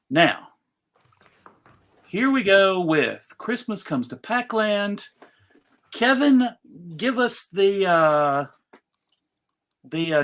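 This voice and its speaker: Bright-voiced man hosting, unhurried. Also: high voice. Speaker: host of a live panel show. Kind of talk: casual comic emceeing.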